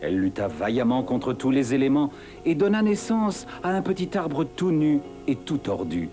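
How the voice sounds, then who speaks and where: A man's voice: mid-range voice, big pitch swings, moderate pace, mellow, faint music behind, close to an everyday voice. A man playing a grandiloquent, poetic storyteller narrating a rhyming cartoon fable. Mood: lyrical, grandiloquent.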